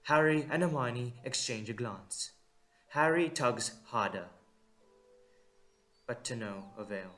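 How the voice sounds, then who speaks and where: A man's voice: mid-range voice, takes it slowly, long pauses, pushed and bright, soft background music. A man giving dramatic scripted narration for an audio drama.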